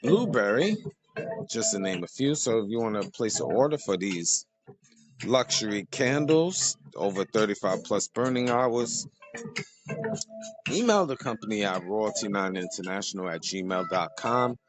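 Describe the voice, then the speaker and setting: Hoarse low-voiced male podcast host. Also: lively intonation, a little slow, music in the background, rough recording. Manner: easygoing, rambling, repeats details. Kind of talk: casual podcast talk with sponsor plugs.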